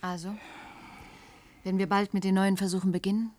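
A woman's voice: mid-range voice, little pitch movement, brisk, darkish tone, close to an everyday voice. An actress playing a principled, disenchanted woman scientist in a radio play, skeptical and reproachful. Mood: resigned.

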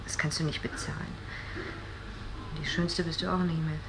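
A blunt, sensible female friend from a radio play. Her voice is low and matter-of-fact, with a reproachful edge. Clear background noise surrounds her. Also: steady tone, normal speed. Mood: blunt, practical. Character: blunt, practical female friend giving sobering advice. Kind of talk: radio drama acting. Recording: noisy background ambience.

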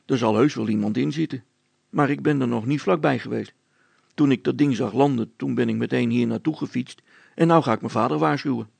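A man's voice: mid-range voice, brisk, creaky, darkish tone, breathy edge, no room sound. A man voicing an eager, earnest village boy in a story, polite and urgent.

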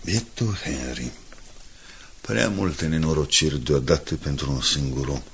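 An actor playing a loyal, kindly newspaper man in a radio drama, speaking in a measured, courteous and emotive manner. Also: a bass voice, a little hiss or hum. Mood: sorrowful, composed. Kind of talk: 1980s Romanian radio drama acting.

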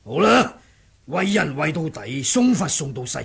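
An actor playing a scheming, bossy wandering monk with a creaky, gravelly voice in an audio drama. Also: male voice, fairly high pitch, big pitch swings, a little slow, fairly bright tone, full character voice. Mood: bossy, plotting. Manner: bossy, conspiratorial, grunting when playing mute. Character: scheming wandering monk who feigns muteness before others. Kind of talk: Cantonese audio drama acting.

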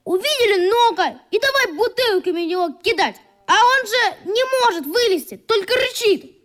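An actress playing a scrappy, excitable young schoolboy in a radio play, blurting out colloquial speech defiantly. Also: female voice, very high voice, normal speed. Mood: agitated recounting.

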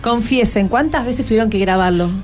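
A woman radio host with a Rioplatense Argentine accent and a fairly high voice. She chats casually and encouragingly, asking lots of questions, with faint music in the recording. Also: a little fast, darkish tone. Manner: encouraging, asks many questions. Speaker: radio host interviewing schoolchildren.

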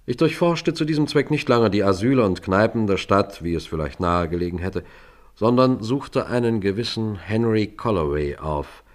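Police sergeant played by a male actor: lowish pitch, formal and businesslike, exact phrasing, for a radio detective play. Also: natural voice. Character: Scotland Yard police sergeant narrating his investigation, methodical and businesslike. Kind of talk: German radio crime drama, narration and dialogue.

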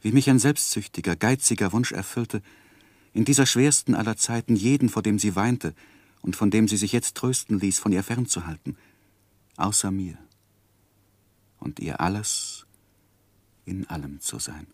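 An actor playing an earnest, lovesick young gentleman who narrates his life in a measured, introspective 1960s German radio drama. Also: on the low side, breathy edge, no echo. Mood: troubled. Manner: measured, introspective literary delivery.

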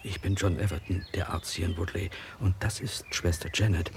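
An actor playing a secretive village doctor giving urgent, conspiratorial warnings in a radio drama. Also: male voice, deep voice, fairly even intonation, a little fast, gravelly, slight background noise. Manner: hushed, clipped, conspiratorial. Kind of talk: German radio drama acting.

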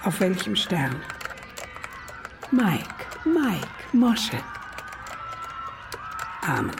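A woman playing a devoted mourner, speaking a solemn, drawn-out, fervent eulogy in a radio feature. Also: lowish voice, big pitch swings, vocal fry, over music, full character voice. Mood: fervent mourning. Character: devoted woman mourning a lost beloved man.

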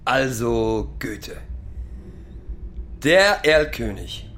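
An actor playing a rambling, proudly sentimental middle-aged pub drinker who lectures and recites poetry in a halting, self-correcting way. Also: male voice, medium pitch, sing-song, fairly bright tone, a little hiss or hum, full character voice. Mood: solemn, lecturing. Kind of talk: theatrical monologue with halting recitation.

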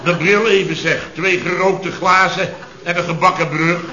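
A man's voice: high voice, steady tone, moderate pace, a crowd in the background. An actor in a radio comedy playing an exasperated chef, blustering and indignantly repeating himself as he tells of disasters. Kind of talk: radio comedy acting before an audience. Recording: echoey dull old radio recording, audience noise.

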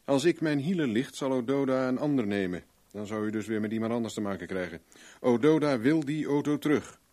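A self-assured male private investigator from a radio play, acted by a man. His voice sits at an ordinary middle pitch. He questions shrewdly and speaks plainly, with a blunt, wry edge. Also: animated, a little fast, dark tone, natural voice. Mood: firm, matter-of-fact. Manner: probing, wry, plain-spoken. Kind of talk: Dutch radio play acting.

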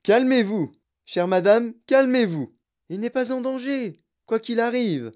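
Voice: mid-range voice, moderate pace, warm tone, voice pushed up, lightly acted. A storyteller voicing a flamboyant, gleeful male factory owner, eccentric, emphatic and mischievous. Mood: breezy soothing.

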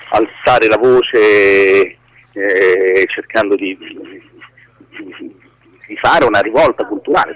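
Husky, low-voiced man being interviewed. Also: a bit bright, rough recording. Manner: halting, searching for words. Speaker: guest, screenwriter. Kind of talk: casual live radio interview.

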